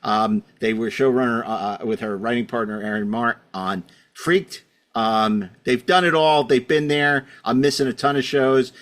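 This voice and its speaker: A man's voice: medium pitch, moderate pace, a bit bright. A middle-aged man hosting a podcast, introducing things casually in a rambling, wry, self-deprecating way. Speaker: podcast host.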